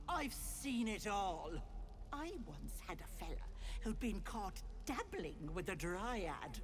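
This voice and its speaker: An actress playing a folksy, doting old herbalist auntie with a sly streak, in a theatrical, cooing video game performance. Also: female voice, a little high. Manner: cooing, theatrical, slow and sing-song endearments. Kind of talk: video game character acting.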